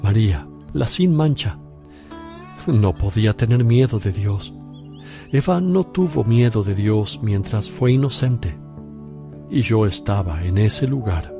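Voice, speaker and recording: A grave prophet and holy teacher in a religious radio play. He declares each phrase slowly and solemnly in a mellow, creaky voice, with faint music behind. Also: fairly low pitch, animated, heavily acted. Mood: solemn, assured. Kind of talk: religious radio drama acting.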